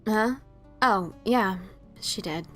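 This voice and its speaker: A woman's voice: medium pitch, takes it slowly, fairly bright tone, faint music behind. A woman playing a brash but self-doubting pegasus pony adventurer, with hesitant, stammering, casual delivery in an audio drama. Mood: awkward. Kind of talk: audio drama dialogue of fan fiction.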